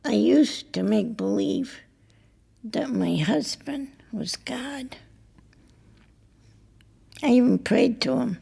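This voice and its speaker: Radio play role: blunt, wry older wife, heavy creak, slow halting delivery. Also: female voice, a little high, animated, warm tone, heavily acted. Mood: wry, confiding. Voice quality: husky, creaky. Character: blunt, worldly married woman who works in a restaurant. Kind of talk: intimate two-person audio drama acting.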